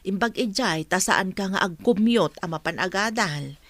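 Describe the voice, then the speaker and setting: Village mother, played by a woman, medium pitch, gentle and practical, audio drama. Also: animated, normal speed. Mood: caring. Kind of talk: dialogue in an amateur audio drama.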